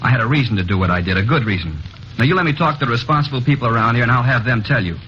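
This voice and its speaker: Brief: actor doing a jumpy, whiny young murder suspect in a vintage radio crime play, quick talker, mid-pitched, muffled hissy transcription. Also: male voice, lively intonation, fairly bright tone, natural voice. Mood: pleading. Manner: edgy, whiny, rising to shrill protests. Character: nervous, defensive young man confessing to a murder. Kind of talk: 1950s radio drama acting.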